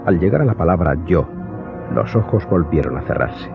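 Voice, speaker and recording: A man's voice: sits low, animated, normal speed, creaky. A man delivering scripted narration for a radio drama audiobook, as the storyteller. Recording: muffled, narrow-band audio with faint music.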